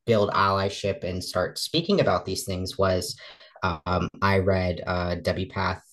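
A woman in her twenties with a relatively deep voice, a guest talking casually in an interview. She acts out the remarks she quotes and talks with many fillers and restarts. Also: measured pace, fairly bright tone. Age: 20s.

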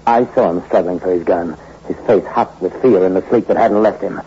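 A man's voice: low voice, fairly quick, heavy background noise, close to an everyday voice. Man acting hardboiled, vengeful hoodlum in radio drama. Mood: hard, brooding. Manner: tough slangy wisecracking delivery. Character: hardboiled vengeful small-time hoodlum, bitter and tough. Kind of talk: 1960s radio crime drama acting with first-person narration. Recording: dull old radio recording with music behind.

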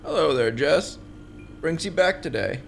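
Amiable shop worker played by a man, heavy vocal fry, gravelly, faint background noise. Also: on the high side, big pitch swings, measured pace, full character voice. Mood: friendly. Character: friendly male shop worker Mister Newton. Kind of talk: audio drama acting.

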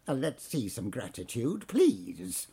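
An actor playing a pompous, bullying theme park manager with an English accent, in audio drama style. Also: male voice, mid-range voice, huge pitch swings, takes it slowly, vocal fry, dark tone, slightly rough, exaggerated voice. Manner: pompous, theatrical.

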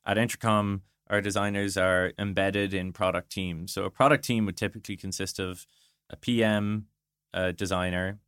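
A man's voice: deep voice, little pitch movement, moderate pace, a bit bright, smooth, no echo, clean studio sound. A man being interviewed as a guest, explaining his points conversationally and thinking aloud with illustrative asides. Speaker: interview guest, product design director.

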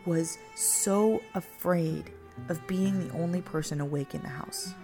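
A woman with a very low voice and plenty of vocal fry shares a personal confession. She talks in halting, reflective phrases, conversational and unscripted, with music clearly underneath.

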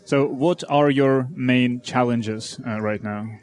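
A man interviewing a founder, asking questions in a hesitant way with frequent pauses and restarts. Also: mid-range voice, a little mellow. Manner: hesitant, many fillers and restarts.

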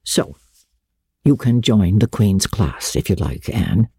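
A woman voicing a stern, practical older female guardian, brisk and no-nonsense, in a dramatic storybook reading. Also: extremely low pitch, animated, warm tone, husky, no room sound, voice pushed down, full character voice. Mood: practical, reassuring. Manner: brisk, matter-of-fact, no-nonsense. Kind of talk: dramatic audiobook reading of a classic novel.

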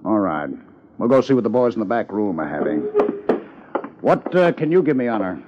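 An actor playing a bookish, drily teasing police lieutenant in a 1950s radio drama, urbane and wordy. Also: male voice, on the low side, very animated, fairly quick. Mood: businesslike, dry. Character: wry, bookish, college-educated male police lieutenant, teasing. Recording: muffled, noisy 1950s radio recording.

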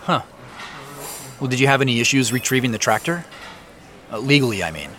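A man's voice: medium pitch, lively intonation, fairly quick, sharp tone, slight background noise. A man acting in a naturalistic audio drama as a cautious, uneasy professional with a hesitant, questioning manner. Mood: probing, casual.

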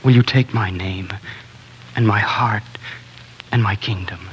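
Man playing a slow, tender young fairy-tale king. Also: on the low side, close to an everyday voice. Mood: adoring, solemn. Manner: romantic, earnest, courtly. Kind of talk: 1940s radio acting. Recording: dull, noisy 1940s radio recording.

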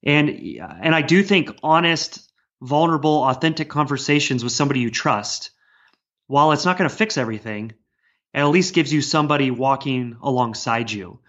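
A man's voice: medium pitch, expressive, moderate pace, fairly bright tone. A man speaking earnestly and discursively as a guest author in a casual podcast conversation. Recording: dull, lo-fi sound.

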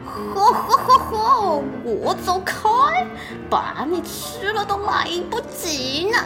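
A woman's voice: very high voice, very animated, slow, pitched above the performer's own voice, full character voice. A woman voicing a wicked, gloating old witch in a storybook tale, cackling and drawing out her words menacingly. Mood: gloating menace. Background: music.

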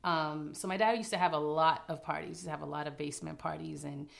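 A woman's voice: low voice, steady tone, quick pace, vocal fry. A woman from Canada, a guest choreographer, telling her story in a giggly, nervous, chatty way. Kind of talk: casual interview storytelling.

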